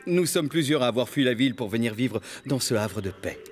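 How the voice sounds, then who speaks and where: An actor playing an eccentric, polite middle-aged ex-banker turned forest hermit in a cartoon, speaking formally and sententiously. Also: male voice, mid-range voice, lively intonation, fairly quick, close to an everyday voice. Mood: courteous. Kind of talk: animated series dialogue. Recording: music behind the voice.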